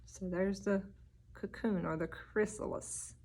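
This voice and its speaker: A teacher reading a picture book aloud, with expressive storybook delivery and little asides about the pictures. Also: female voice, mid-range voice, measured pace.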